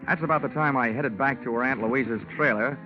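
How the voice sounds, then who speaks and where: A man's voice: mid-range voice, fairly quick, close to an everyday voice. A man playing a wisecracking hard-boiled insurance investigator who narrates his case in a dry, clipped manner in a 1950s radio drama. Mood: wry. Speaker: narrator and lead detective. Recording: muffled old radio broadcast with music.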